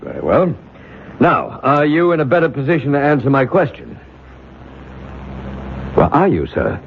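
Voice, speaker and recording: A man's voice: a little high, big pitch swings, moderate pace, gravelly. A man playing a stern, formal committee chairman in a radio drama, authoritative and pressing. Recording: tinny, noisy vintage radio recording.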